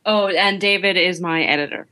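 A woman's voice: on the low side, normal speed, bright, forceful tone. A woman from Canada speaking casually as a writer guest in an interview.

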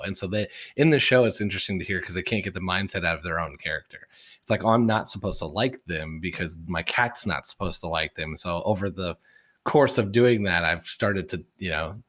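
A man hosting a casual podcast interview, chatty, with quick enthusiastic asides. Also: low-pitched, a bit bright. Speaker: podcast host.

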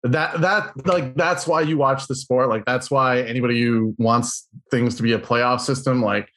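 A man co-hosting a sports podcast, talking in an excitable, rambling way. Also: mid-range voice, moderate pace. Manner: excitable, rambling, lots of fillers and restarts. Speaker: podcast co-host. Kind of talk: casual sports podcast chat.

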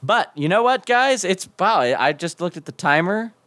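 A young man hosting a live podcast, slightly hoarse, talking casually and upbeat with lots of fillers and restarts. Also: sits high, sing-song, moderate pace, a bit bright, no echo. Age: young adult. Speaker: podcast host.

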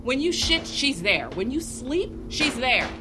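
An actress playing a tough, foul-mouthed army drill sergeant in an audio drama, curt and interrogating. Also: female voice, sits high, big pitch swings, a little slow, heavy background noise. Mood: angry, berating. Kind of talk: scripted audio drama acting.

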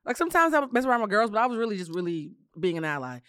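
A woman hosting a podcast in casual chat, animated and quick to laugh, with a big voice. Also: mid-range voice, clean tone, no room sound, studio quality. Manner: animated, laughs often, talks fast. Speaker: podcast host.

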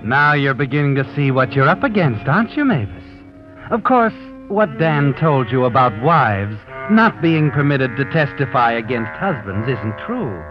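A man's voice: medium pitch, very animated, moderate pace, lightly acted. Taunting, all-knowing mystery narrator played by a man, forties radio style, insinuating, dramatic swings, music underneath. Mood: taunting, sardonic. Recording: muffled old radio broadcast recording.

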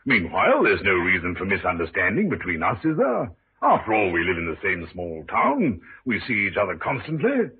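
An actor playing a dapper, evasive colonel in an old radio drama, suave and insistent. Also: male voice, deep voice, wildly swooping pitch, moderate pace, heavily acted. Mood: smoothly evasive. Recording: tinny, hissy vintage radio recording.

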